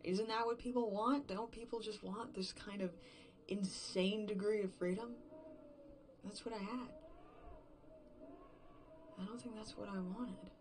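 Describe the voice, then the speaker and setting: A woman acting a distraught, unraveling survivor alone in an emptied world, in a halting, self-questioning, profane confessional monologue for a horror fiction podcast. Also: mid-range voice, fairly even intonation, background noise, natural voice. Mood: bitter anger, then grieving, angry.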